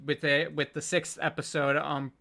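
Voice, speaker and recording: A host chatting casually on a live stream, halting and hesitant, often repeating words before getting to the point. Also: medium pitch, little pitch movement, creaky, sharp tone. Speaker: panel host.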